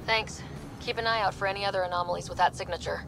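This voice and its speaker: A woman's voice: mid-range voice, steady tone, quick pace, gravelly, noisy background, lightly acted. A woman playing a determined, wry space explorer leader in a video game, assertive and sardonic. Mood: brisk, assertive.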